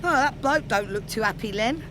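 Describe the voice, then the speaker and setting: A woman's voice: a little high, expressive, moderate pace, background noise, lightly acted. A woman acting a nervous, nagging wife with a London accent in a comedy radio play. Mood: weary nagging. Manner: scolding, anxious. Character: nervous, nagging wife of a reckless cab driver.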